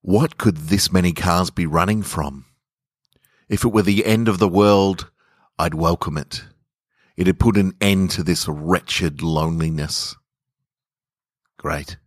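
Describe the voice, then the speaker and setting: Man narrating as lonely insomniac lover, very low pitch, slow, brooding, literary audiobook style. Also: no echo, clean studio sound. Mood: resigned, wistful. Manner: brooding, measured. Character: lonely, sleepless lover lying in a hotel bed. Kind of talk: literary first-person story reading.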